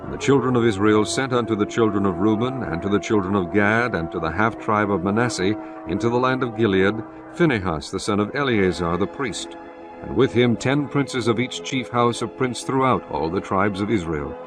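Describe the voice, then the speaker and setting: A man narrating scripture in a measured, solemn scripted reading. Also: fairly low pitch. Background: music underneath.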